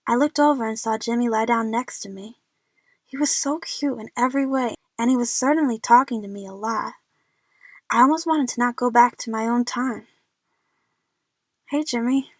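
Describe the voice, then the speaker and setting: A woman narrates a first-person story for an audio drama. Her voice is on the high side and recorded very dry, without room sound. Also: brisk, no echo.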